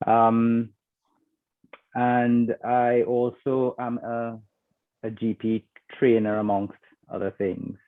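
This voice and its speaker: A man's voice: mid-range voice, level tone, slow pace, pauses often, no echo. A male general practitioner, introducing himself conversationally on a panel with frequent um and uh. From Trinidad and Tobago. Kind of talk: online panel discussion. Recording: thin phone-like audio, dry.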